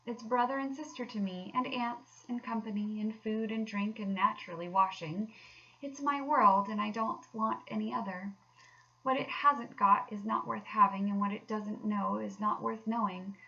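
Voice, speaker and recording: A woman's voice: medium pitch, moderate pace, gravelly, pushed and bright, highs cut off, voice pushed up. A woman voicing a confident, fussy male water rat in a children's storybook, chummy and emphatic. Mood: fond, enthusiastic. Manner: chummy, emphatic, old-fashioned turns of phrase. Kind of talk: children's storybook read aloud.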